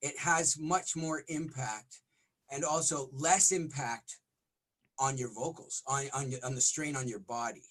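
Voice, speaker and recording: Smoky-voiced middle-aged rock singer. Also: male voice, medium pitch, unhurried, a bit bright, rough recording. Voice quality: smoky, raspy voice. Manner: rambling, frequent restarts and fillers. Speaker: rock vocalist guest. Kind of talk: casual podcast interview.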